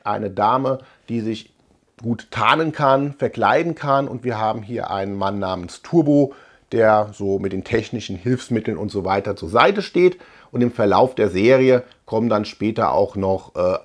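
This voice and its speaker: A man in his forties hosting a casual review video, talking conversationally and haltingly as he thinks aloud. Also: medium pitch. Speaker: host of a retro cartoon review channel. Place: small room lined with shelves, indoors.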